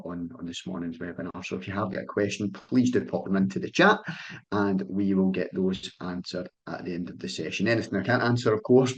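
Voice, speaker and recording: A young Scottish man, an account manager, presenting a sales webinar over a call in a friendly, loose style with frequent ums and restarts. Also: lowish voice. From Scotland.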